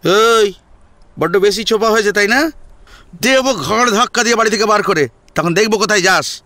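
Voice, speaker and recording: A man voicing a threatening, scornful husband who sneers loudly and aggressively in an audio drama. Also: sits high, brisk, no echo, voice pushed up. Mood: furious, threatening. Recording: music behind the voice.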